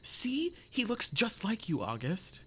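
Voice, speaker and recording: A woman's voice: medium pitch, wildly swooping pitch, takes it slowly, music in the background. A young woman playing a bold, curious, impulsive teenage best friend in an audio drama. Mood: bold, excited.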